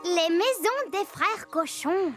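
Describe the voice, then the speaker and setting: Little girl cartoon storyteller, very high lively voice, unhurried, exclamatory, music playing behind. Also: full character voice. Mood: eager storytelling. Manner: exclamatory, speaking directly to the listener. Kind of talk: animated children's cartoon storytelling to the viewer.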